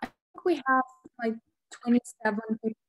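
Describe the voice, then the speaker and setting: A woman's voice: fairly high pitch, moderate pace, poor audio. A woman organising a startup webinar, chatting casually on a video call with hesitant fillers.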